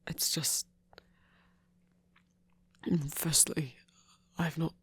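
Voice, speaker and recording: Breathy, husky vampire played by a man, slow and whispery. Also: on the high side, fairly even intonation, fairly bright tone, exaggerated voice. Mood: wistful, anxious. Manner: hesitant, halting, teasing, breathy. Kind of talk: intimate ASMR audio roleplay.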